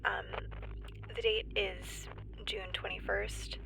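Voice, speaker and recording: A woman playing a nervous, wry stranded geologist in a sci-fi audio drama, halting and breathy, often near a whisper. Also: mid-range voice, level tone, rough recording. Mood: nervous, tentative. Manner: halting, awkward, wryly understated. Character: stranded woman, nervous civilian geologist keeping an emergency log. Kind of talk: found-footage sci-fi audio drama monologue.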